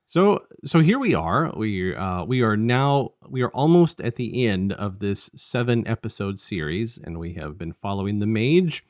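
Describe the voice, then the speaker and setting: A man co-hosting a casual review podcast, talking in a halting, rambling way and often restarting his sentences. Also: lowish voice, big pitch swings, no echo, studio quality. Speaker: podcast co-host.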